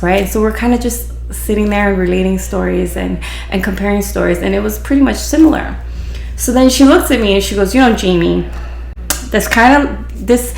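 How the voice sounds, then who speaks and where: A woman's voice: mid-range voice, gravelly, roomy sound. A woman from Hawaii with a Pidgin-influenced accent, hosting a podcast and telling a story in a chatty, rambling way full of restarts and "you know". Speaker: podcast host, beautician. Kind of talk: casual storytelling.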